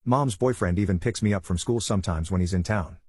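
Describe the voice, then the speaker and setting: Scornful, casual teen daughter for narrated drama, read by a male narrator. Brisk, dry. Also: deep voice, darkish tone, slightly rough, dry recording. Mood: gossipy, scornful. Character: gossipy, scornful teenage daughter on the phone.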